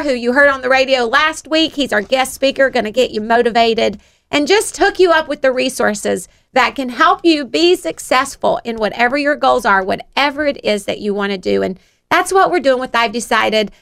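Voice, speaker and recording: A middle-aged woman with a Southern US accent and a high-pitched, creaky voice. She hosts a motivational radio show, chatting and encouraging listeners effusively. Her tone is bright and forward. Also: animated, normal speed. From Georgia, United States. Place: radio studio.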